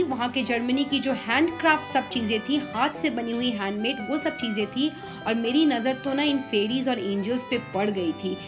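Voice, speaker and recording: A middle-aged woman with an Indian accent chatting enthusiastically as a travel vlogger, switching between two languages. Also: high voice. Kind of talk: casual vlog chat mixing Hindi and English. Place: outdoor city market street. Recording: music and bustling outdoor crowd behind.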